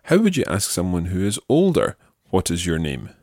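A man's voice: sits low, wildly swooping pitch, normal speed, a little mellow, dry recording, clean studio sound. A man teaching a language lesson, patiently prompting and carefully repeating phrases. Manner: patient, instructive, carefully enunciated.

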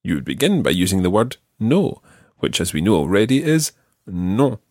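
A man hosting a podcast language lesson, explaining patiently and clearly. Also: sits low, sing-song, normal speed, darkish tone, no echo, clean studio sound. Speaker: language teacher and podcast host.

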